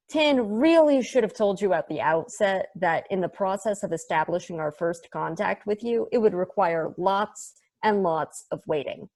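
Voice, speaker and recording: A woman's voice: mid-range voice, darkish tone. A woman as a blunt, chatty space probe. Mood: earnest, explanatory. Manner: casual, profane, rattles through a numbered list. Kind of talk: amateur play table read.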